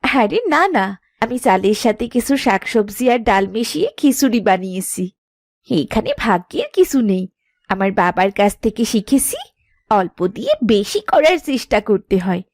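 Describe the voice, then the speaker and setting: A woman playing a sensible, hardworking young village wife in a cartoon moral tale, gently chiding and earnest. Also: a little high, expressive, moderate pace, no room sound. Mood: helpful, earnest.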